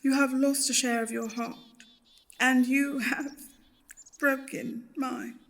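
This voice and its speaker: An actress playing a tender, sorrowful, steadfast woman in a radio play, earnest and measured. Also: sits high, a bit bright, heavily acted.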